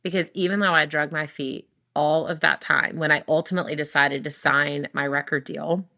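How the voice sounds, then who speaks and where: A young adult woman, a worship singer, tells her story in an interview, talking quickly and animatedly with frequent restarts. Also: low-pitched, steady tone, pushed and bright. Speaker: worship singer and recording artist.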